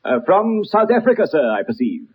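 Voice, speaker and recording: A haughty British detective for radio drama, precise and dryly superior. His pitch moves widely and the voice is a little husky. The old recording is dull and lo-fi. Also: big pitch swings, normal speed, heavily acted. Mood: brisk, assured. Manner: pompous, precise, formal. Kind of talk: radio drama acting with first-person narration.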